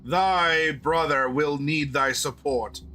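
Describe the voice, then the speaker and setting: A man voicing a commanding male centaur who speaks in slow, old-fashioned formal phrases. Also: fairly high pitch, sharp tone. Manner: archaic formal speech, slow and measured.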